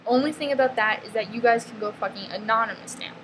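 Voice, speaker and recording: Woman, New York accent, somewhat high-pitched, blunt confessional vlogger who restarts often; audible ambient noise behind her. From northeastern US, near New York. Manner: blunt, emphatic, peppered with "freaking" and "like"; halting, restarts mid-sentence. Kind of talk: confessional vlog monologue. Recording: noticeable background ambience noise.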